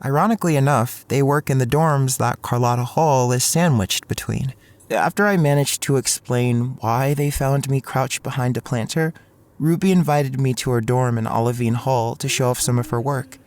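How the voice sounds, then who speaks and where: Young male lead played by a man: awkward, anxious college freshman narrating a drama, mid-pitched, over music. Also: moderate pace, close to an everyday voice. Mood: wry, observant. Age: young adult. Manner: hesitant, stammering in dialogue. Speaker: narrator and main character. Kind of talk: first-person audio drama narration.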